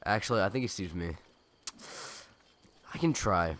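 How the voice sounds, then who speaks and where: A young man with a cold gives loose gameplay commentary, his stuffed nose and raspy throat coloring every line. He talks in a somewhat low voice, wandering into asides and correcting himself mid-sentence. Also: animated, moderate pace, fairly bright tone, slight background noise. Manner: rambling, self-correcting, chatty asides. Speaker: let's play gamer.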